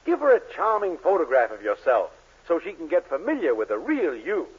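Pompous boss, wildly swooping voice. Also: male voice, on the high side, huge pitch swings, a little fast, slightly rough, full character voice. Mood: smooth, persuasive. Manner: pompous, avuncular, exclamatory. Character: pompous, tight-fisted male drugstore owner and boss. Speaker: sitcom actor. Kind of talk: 1940s radio comedy acting. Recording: muffled, hissy 1940s radio recording.

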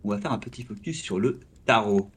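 A man's voice: on the low side, animated, fairly quick, slight background noise. A man co-hosting a gaming podcast in casual chat, often restarting his sentences and steering the discussion along. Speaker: podcast co-host.

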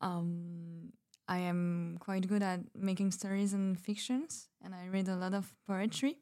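A woman's voice: on the low side, steady tone, a little slow, dark tone. A woman playing a shy, disillusioned writer in a fiction podcast, speaking hesitantly. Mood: wistful, hesitant. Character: shy, disillusioned writer who loves poetry. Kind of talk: fiction podcast roleplay as interview.

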